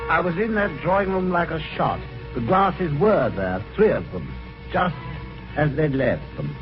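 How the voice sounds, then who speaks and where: An actor playing an eager, excitable Englishman telling his own strange adventure in an old radio mystery, with a British accent and genteel old-fashioned phrasing. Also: on the high side, a little slow. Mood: urgent, triumphant. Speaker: lead actor and first-person narrator. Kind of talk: golden age radio mystery acting. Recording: dull old radio recording, music behind.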